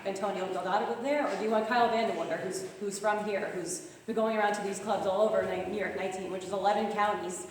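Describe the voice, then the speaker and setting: A young woman with a Long Island accent talks fast and earnestly, her voice a little hoarse. She is heard from far off in an echoey hall with a long tail of reverb, and faint room noise sits under her words. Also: medium pitch, fairly even intonation. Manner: fast-talking, earnest appeal. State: losing her voice. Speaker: campaign manager. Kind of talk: speech at a club meeting. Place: meeting room.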